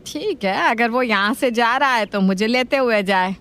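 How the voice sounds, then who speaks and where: A woman playing a doting, gullible grandmother with a homely, indulgent manner in an animated family story. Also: on the high side, moderate pace, smooth, natural voice. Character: kindly, gullible, doting grandmother and mother-in-law.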